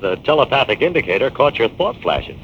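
An actor playing an earnest scientist hero who explains firmly, in 1940s radio acting style. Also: male voice, mid-range voice, very animated, fairly quick, lightly acted. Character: male scientist hero, firm and fatherly. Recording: dull old radio transcription with hiss.

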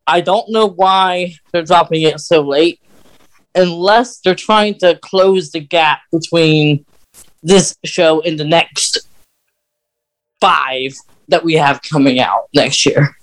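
Male podcast host, noticeably high voice, informal conversational delivery. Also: slow pace, pauses often, fairly bright tone, poor audio. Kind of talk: casual fan podcast chat.

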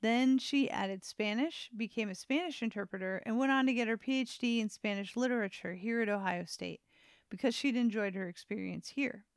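A woman's voice: a little high, normal speed, smooth, no echo. Woman host narrating, close and dry. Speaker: host and interviewer. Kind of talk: conversational scripted narration.

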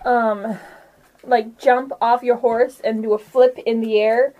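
A woman narrating a casual tabletop game as game master, halting and informal as she goes. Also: on the high side, little pitch movement, dark tone, slight background noise.

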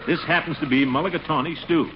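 A man's voice: on the high side, fast, natural voice. An actor playing a pompous, easily flustered middle-aged family man in a 1940s radio comedy, blustering and muttering to himself. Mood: indignant. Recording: muffled, noisy 1940s radio recording.

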